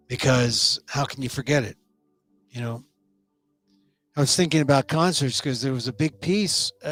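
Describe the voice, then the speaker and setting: A middle-aged man with a hoarse, scratchy voice, hosting a live online talk show and giving loose, opinionated commentary with restarts. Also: on the high side, fairly bright tone, no echo.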